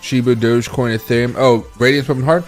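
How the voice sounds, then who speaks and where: A tired man with a raspy voice hosting a casual crypto livestream, thinking aloud as he reads charts and answers chat. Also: mid-range voice, fairly even intonation, quick pace, soft background music. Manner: rambling, thinks aloud while scrolling charts and reading chat.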